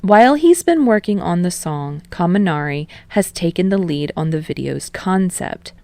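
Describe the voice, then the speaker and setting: Low, creaky woman narrating a story. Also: animated, moderate pace, mellow, no echo. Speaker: audiobook narrator of fan fiction.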